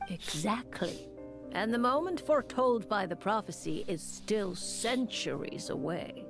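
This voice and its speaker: A woman's voice: mid-range voice, animated, measured pace, vocal fry, music in the background. A woman voicing a knowing, matter-of-fact character in a video game.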